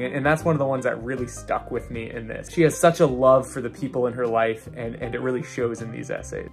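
A young man in his twenties hosting a casual book review vlog, talking earnestly with fillers and restarts into a close studio mic in a small home room. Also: mid-range voice. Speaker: book channel host. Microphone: boom-mounted studio mic close in front.

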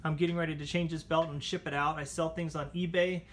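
A man's voice: on the high side, little pitch movement, fast, fairly bright tone, slight background noise. A man hosting a casual repair tutorial, chatting informally as he talks viewers through the steps. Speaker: how-to channel host. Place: indoors at a table at home.

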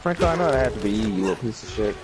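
Male streamer host, mid-pitched, profane and impatient, game rain audible. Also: animated, racing, noisy background. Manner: profane, easily frustrated. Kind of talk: casual gaming chat. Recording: rain game sound behind voice.

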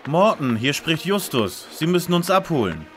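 A man as an animated, bossy young detective. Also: fairly high pitch, moderate pace, faint music behind. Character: self-assured young lead detective, dry, bossy and precise. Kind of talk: parody detective audio drama.

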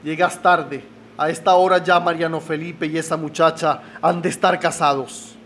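Man playing a stern, indignant tycoon father, radio soap, fairly high voice, faint noise. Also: steady tone, moderate pace, a bit bright, natural voice. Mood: defiant, exasperated. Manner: indignant, melodramatic. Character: proud, stern middle-aged business magnate father. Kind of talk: radio soap opera acting.

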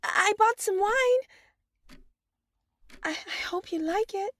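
A woman's voice: very high voice, lively intonation, takes it slowly, fairly bright tone, no echo, heavily acted. An actress playing a meek, lonely, anxious woman in a radio drama, hesitant and eager to please. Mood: nervous, placating. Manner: hesitant, timid, apologetic.

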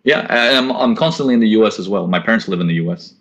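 A man's voice: fairly low pitch, fast talker, a bit bright. Man hosting a casual trading webinar. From grew up in Korea and the US. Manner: casual, repeats himself, coaching tone with rhetorical questions. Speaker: forex trading webinar host. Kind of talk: live webinar Q&A, reading chat questions. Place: small indoor room at home. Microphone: laptop webcam mic on a video call.

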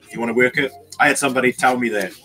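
A young man in a small bedroom, casually chatting with his viewers on a livestream and reading out their comments, slangy and relaxed, calling people bro and man. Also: mid-range voice, rapid-fire, faint music behind. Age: young adult. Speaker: YouTuber reading live chat.

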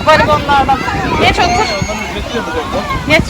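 A woman's voice: high voice, takes it slowly. A woman narrating a casual family vlog for children, speaking directly with frequent greetings and prompts. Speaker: family vlogger and mother filming her child. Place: crowded outdoor children's theme park. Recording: noisy crowded outdoor recording, loud background. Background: loud crowd and outdoor ambience.

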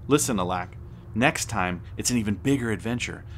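A man's voice: fairly low pitch, a little fast, a little hiss or hum, close to an everyday voice. A man playing a grandfather who narrates an adventure story in an audio drama. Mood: reassuring.

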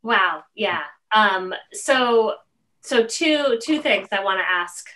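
A middle-aged woman hosting a casual interview on a video call, expressive and halting as she frames her questions. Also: mid-range voice. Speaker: interview host. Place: room at home on a video call.

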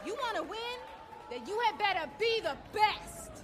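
An actress playing a bold, commanding crew leader who rallies racers with a challenging, projected delivery in a video game. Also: female voice, very high voice, expressive, normal speed, over music. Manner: forceful, challenging, projecting to a crowd. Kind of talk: video game voice acting, rousing speech.

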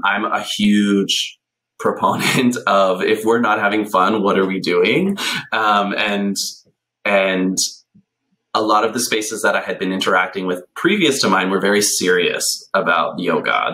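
A man in his thirties, a podcast guest telling his story in a relaxed, animated way, with plenty of ums, on a video call from a small bright room. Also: fairly low pitch, poor audio. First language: English. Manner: animated, gesturing a lot, many ums. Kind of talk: casual conversational storytelling. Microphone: headphones on a video call.

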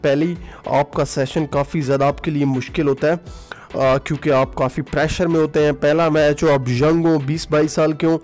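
A man's voice: on the high side, level tone, normal speed, a little mellow, faint music behind. A man talking through a cricket match in a casual voice-over. He uses many filler sounds and drops in English phrases. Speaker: cricket commentary vlogger. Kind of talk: solo cricket commentary over music. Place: voice-over, not recorded at the ground.

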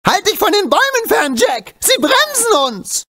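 A man voicing a small, wisecracking, excitable animal sidekick in a video game, with an urgent, cheeky, exclamatory delivery. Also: very high-pitched, normal speed, exaggerated voice. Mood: alarmed, excited.

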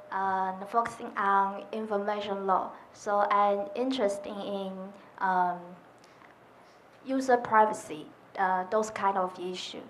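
A woman's voice: medium pitch, a bit bright, slight background noise. A woman from Taiwan, a law student, introducing herself hesitantly to a room in a large lecture hall. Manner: hesitant, plain self-introduction. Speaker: law student, audience member.

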